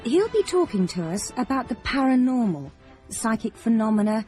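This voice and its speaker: An actress playing a chirpy radio chat show presenter with a presenter's lilt. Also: female voice, fairly high pitch, sing-song, normal speed. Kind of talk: radio presenting within a drama. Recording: radio broadcast under loud music.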